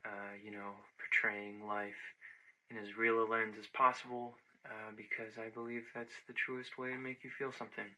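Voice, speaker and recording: A young man playing an earnest teenage aspiring filmmaker, speaking haltingly and thoughtfully in an amateur found-footage confessional. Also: fairly low pitch, level tone, sharp tone, rough recording. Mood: earnest, hesitant. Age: young adult. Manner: halting, thoughtful, searching for words. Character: nineteen-year-old aspiring documentary filmmaker, earnest and hesitant.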